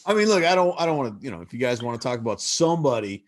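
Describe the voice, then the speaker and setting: A man speaking as a panelist on an online fan convention panel, wry and quick to interject. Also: mid-range voice, sing-song, dry recording. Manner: wry, interjecting, many I means. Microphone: video call.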